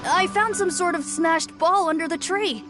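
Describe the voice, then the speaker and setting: Young female forest spirit, high voice, earnest, slightly nervous. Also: moderate pace. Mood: eager. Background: game soundtrack music.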